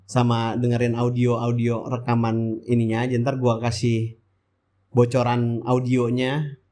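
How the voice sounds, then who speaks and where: Guy w jakarta-area indonesian accent, casual vlog voiceover, middle pitch, kinda rambly and colloquial. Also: monotone, a little slow, warm tone. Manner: colloquial, rambling, asks and answers own questions. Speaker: motovlog host. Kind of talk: casual vlog voice-over, chatty and informal.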